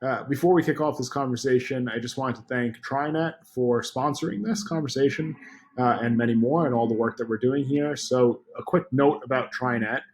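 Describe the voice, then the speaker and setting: A man hosting a video interview series, reading a sponsor note and asking questions in a quick, upbeat way with fillers. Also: mid-range voice. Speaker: podcast host.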